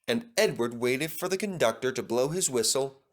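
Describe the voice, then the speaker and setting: A man's voice: medium pitch, animated, normal speed. A man reading a children's story aloud as a scripted narrator.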